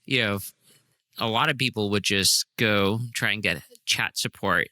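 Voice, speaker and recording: A man's voice: on the low side, normal speed, bright, forceful tone, no echo. A man hosting a podcast who talks casually and eagerly, often stumbling and repeating words. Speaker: podcast host. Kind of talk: casual interview talk.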